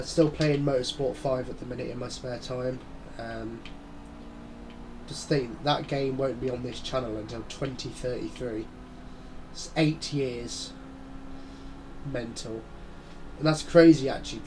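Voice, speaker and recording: A man talking his way through a race in a rambling, chatty way, muttering asides at the game as he drives. His nose is blocked from a head cold, so he sounds stuffy and breathes through his mouth. His voice sits at an ordinary middle pitch, with a faint hiss of noise underneath. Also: a little mellow, rough recording. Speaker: gaming channel host. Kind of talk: casual gameplay commentary.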